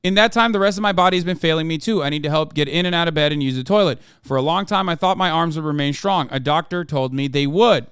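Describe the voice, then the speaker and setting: A man's voice: fairly high pitch, fast, hardly any pauses, fairly bright tone, clear, resonant tone, no room sound, clean studio sound, natural voice. A man voicing a frank, wry Icelandic entrepreneur with a put-on Icelandic accent, reading a written statement aloud. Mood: earnest.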